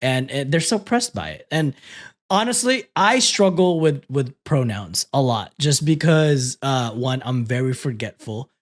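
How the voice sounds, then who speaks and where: A young man from the Philippines, a native Tagalog speaker, co-hosting a casual chat, animated and quick to swear and laugh. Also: medium pitch. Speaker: podcast co-host.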